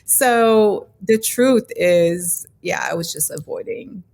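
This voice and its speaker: A woman's voice: fairly low pitch, slow, a little mellow. A middle-aged woman with a Moroccan accent, chatting as a podcast guest in a hesitant, reflective way. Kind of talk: casual interview chat.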